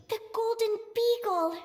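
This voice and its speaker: A woman's voice: very high-pitched, heavily acted. A woman voicing an eager, dreamy young female adventurer in a video game, bubbly and wide-eyed as she talks to herself. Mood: amazed, elated. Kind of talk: video game voice acting, monologue.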